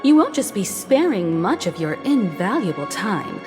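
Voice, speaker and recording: Actress as shrewd young woman navigator, elegant, courteous, lilting pitch, game dialogue with music behind. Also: very animated, normal speed, natural voice. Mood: persuasive. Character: poised, shrewd young female space-train navigator. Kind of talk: scripted video game dialogue.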